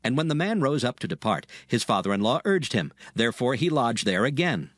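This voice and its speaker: A man's voice: mid-range voice, very animated, a little fast, no echo, studio quality. A man narrating dramatized scripture in a solemn storytelling manner, reading archaic English from a script.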